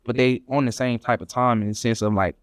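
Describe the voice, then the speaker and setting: A man's voice: medium pitch, fairly even intonation, fairly quick, smooth, no room sound, studio quality. A young man from Atlanta, a podcast guest casually telling travel stories, full of slang and "you know what I'm saying". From Atlanta, Georgia. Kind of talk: casual storytelling chat.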